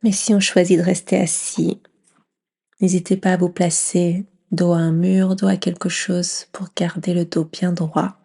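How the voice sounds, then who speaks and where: A woman leading a guided yoga nidra meditation in a gentle, soothing near-whisper with long pauses. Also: lowish voice, level tone, creaky, husky.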